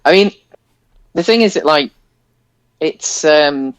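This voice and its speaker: A man's voice: a little high, animated, takes it slowly, smooth, dry recording. A British man co-hosting a podcast, chatting casually about a film in a hesitant, rambling way. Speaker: podcast co-host.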